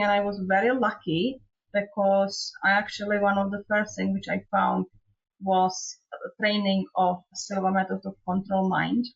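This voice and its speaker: A woman from Czechoslovakia, a trauma therapist telling her story in a measured way as an interview guest, speaking over a remote call from her home. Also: mid-range voice, creaky, slightly rough, dull audio. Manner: non-native phrasing, measured storytelling. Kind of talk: interview conversation.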